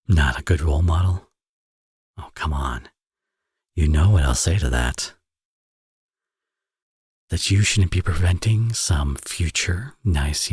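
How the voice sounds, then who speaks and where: A man's voice: rumbling low, a little mellow, breathy edge, no echo, clean studio sound, full character voice. A man playing a flirty, smug villain who dotes as a father, in a romantic ASMR audio roleplay with playful, conversational delivery. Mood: teasing, smug. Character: teasing, flirty male villain, now a doting father.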